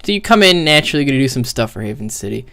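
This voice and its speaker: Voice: mid-range voice, big pitch swings, racing, sharp tone, dry recording. A streamer giving casual, rambling game commentary in a blunt, profane way, full of "like", into a microphone with the gain turned up. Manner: rambling, heavy use of "like". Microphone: streaming microphone with high gain. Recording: close, dry, hot mic gain.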